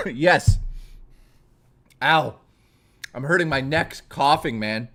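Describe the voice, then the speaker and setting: A young man streaming live, chatting casually and excitably with viewers and swearing often. He is hoarse and losing his voice. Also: mid-range voice, extremely slow.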